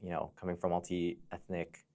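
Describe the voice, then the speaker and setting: A man's voice: deep voice, little pitch movement, normal speed, gravelly, dark tone. An American man speaking conversationally as a podcast guest, telling personal stories in a reflective, explanatory way. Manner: reflective, explanatory, often says "you know". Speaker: podcast guest, fire dancer and entrepreneur.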